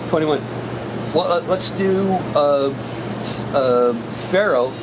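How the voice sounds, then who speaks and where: A man directing a table read in an informal way, halting and repeating himself as he gives notes. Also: fairly high pitch. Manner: halting, repeats himself, talks over others. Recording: very noisy room hum.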